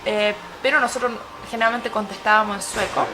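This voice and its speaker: A young woman with a Chilean Spanish accent who first spoke Swedish, answering interview questions with thoughtful pauses. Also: medium pitch, fairly even intonation, rough recording. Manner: thoughtful, with frequent hesitations. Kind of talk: casual interview.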